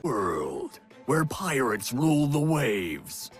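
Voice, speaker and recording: A bombastic trailer announcer, selling pirate adventure over faint music. His delivery is slow and theatrical, with big swings in pitch and booming emphasis. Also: sing-song. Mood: bombastic, hyped. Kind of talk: dramatic movie-trailer voice-over.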